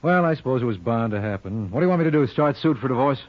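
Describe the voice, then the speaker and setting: An actor in a 1950s radio drama playing a wry, worldly criminal lawyer with a blunt, wisecracking manner. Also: male voice, medium pitch, expressive, rapid-fire, natural voice. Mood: blunt, knowing. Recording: noisy, dull old radio recording.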